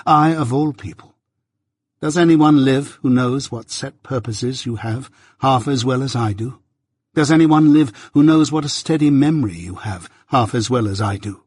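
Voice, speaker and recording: A man voicing a proud, self-possessed young adopted daughter in a novel reading, speaking with composed, measured, unyielding delivery. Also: medium pitch, a little mellow, slightly rough, no room sound, studio quality, close to an everyday voice. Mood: proud, insistent.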